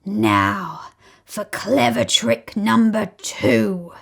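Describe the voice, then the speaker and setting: Sly, greedy crocodile, put on by a woman narrator; low, breathy, gloating. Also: extremely slow, a bit bright, exaggerated voice. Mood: scheming. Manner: sly, gloating, half-whispered. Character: greedy scheming male crocodile.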